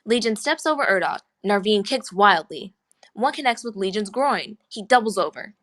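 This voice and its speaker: A woman's voice: medium pitch, expressive, normal speed, a bit bright. A woman reading scripted screenplay action lines as the narrator of a table read.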